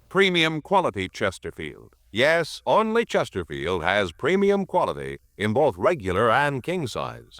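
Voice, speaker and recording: A man's voice: on the low side, a bit bright, no echo, studio quality. A man announcing in the style of a 1950s radio cigarette commercial, with an emphatic, polished sales delivery.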